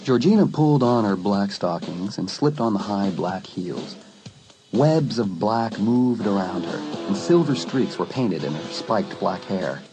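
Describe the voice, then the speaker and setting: A man narrating a dramatised teen horror story in an expressive, dramatic storytelling manner. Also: lowish voice, a little slow, music in the background, rough recording. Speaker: audiobook narrator.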